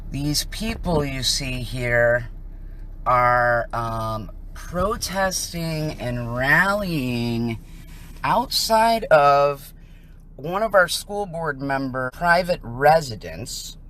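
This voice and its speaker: A woman's voice: a bass voice, a bit bright, slight background noise. An American woman giving live on-scene commentary outdoors as a citizen reporter, speaking in an indignant, emphatic way. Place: outdoors on a residential street.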